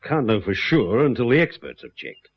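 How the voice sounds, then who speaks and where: An actor playing a shrewd, deliberate police inspector in a radio crime drama, musing with pauses between his phrases. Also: lowish voice, sing-song, gravelly, slightly rough, heavily acted. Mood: pondering, grave. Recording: muffled, noisy old radio drama recording.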